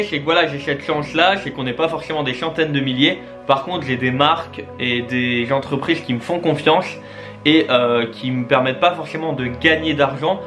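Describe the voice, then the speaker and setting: A man's voice: mid-range voice, a bit bright. A man in his twenties chatting casually and eagerly to his viewers, with many fillers and restarts. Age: 20s. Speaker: YouTube and Twitch creator. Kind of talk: casual vlog address to viewers.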